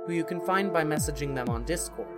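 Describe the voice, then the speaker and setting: Low-voiced woman announcer over loud music. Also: fast talker. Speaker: podcast announcer and voice actor. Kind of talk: scripted credits read.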